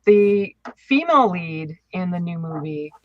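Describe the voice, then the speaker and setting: A woman chatting casually as a podcast co-host, deliberate and emphatic, repeating words for stress. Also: fairly low pitch, animated, no room sound, highs cut off.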